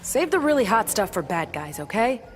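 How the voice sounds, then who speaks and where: A woman's voice: on the high side, sing-song, moderate pace. An actress playing a wry, lightly teasing female superhero in a video game. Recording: reverberant voice under loud game music.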